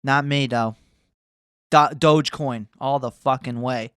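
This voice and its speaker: Brief: man in his twenties, casual rambling vlog rant, heavy swearing, smooth clean tone, close and dry. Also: mid-range voice, steady tone, measured pace, fairly bright tone, no echo. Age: 20s. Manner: profane, rambling, swears often; mocking, bragging, jumps between asides. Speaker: vlogger.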